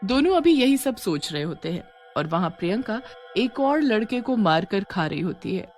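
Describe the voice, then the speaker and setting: A woman's voice: medium pitch, very animated, moderate pace, darkish tone, soft background music. A woman narrator reading a scripted horror story.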